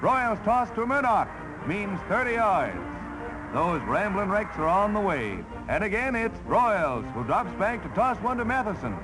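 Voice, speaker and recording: Male American newsreel commentator, 1940s. High, swooping delivery, punchy and theatrical, old soundtrack. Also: big pitch swings, unhurried, low-quality recording. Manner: punchy, colourful, theatrical announcing. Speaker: newsreel narrator. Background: loud music.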